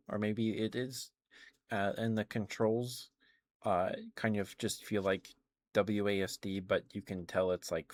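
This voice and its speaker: Low-voiced man rambling in close ASMR. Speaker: live streamer. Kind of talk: ASMR, casual rambling chat to live viewers. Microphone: close ASMR microphone.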